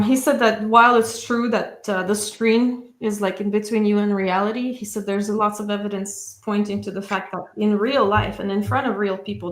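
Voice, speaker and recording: A woman's voice: mid-range voice, reverberant, poor audio. A woman interpreting in a discussion, carefully and hesitantly piecing the points together.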